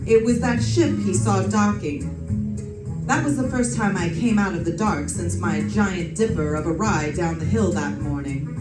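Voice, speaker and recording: A woman playing a wry, quick-witted female private detective in an old-time radio drama, with a sardonic, snappy delivery. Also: mid-range voice, close to an everyday voice. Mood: wry narration. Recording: roomy, distant stage pickup.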